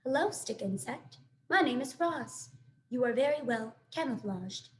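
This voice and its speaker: A woman voicing a calm, polite female robot with formal, measured phrasing in a children's story. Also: a little high, reverberant.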